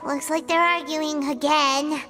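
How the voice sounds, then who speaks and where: A woman's voice: high voice, steady tone, a little slow, sharp tone, soft background music. A woman voicing a small, cheerful childlike fairy companion in a video game, chirpy and speaking in the third person. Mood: chipper. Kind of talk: English video game voice acting.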